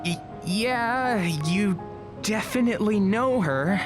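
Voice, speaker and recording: A woman's voice: medium pitch, slow, music in the background, natural voice. A woman playing a shy, polite teenage schoolboy in an audio drama, hesitant and prone to stammering. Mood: awkward, apologetic.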